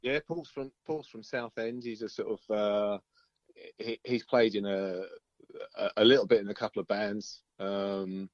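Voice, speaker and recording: Dull-sounding, low-voiced man. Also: fairly even intonation, no room sound. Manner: informal, halting, circles back over phrases. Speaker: band singer-guitarist being interviewed. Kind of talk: casual interview chat.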